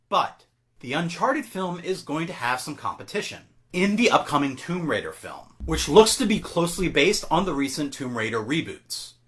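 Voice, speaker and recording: Male online host, slightly high voice, very lively intonation, scripted geek-culture commentary delivered with enthusiasm. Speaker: YouTube host. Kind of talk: scripted video commentary on film and game news.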